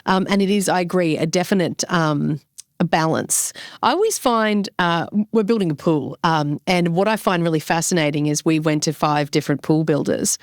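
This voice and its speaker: A woman's voice: deep voice, moderate pace, no room sound, studio quality. A woman hosting a podcast, speaking conversationally and reflectively as she thinks aloud and puts questions to a guest. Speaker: podcast host. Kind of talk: conversational interviewing.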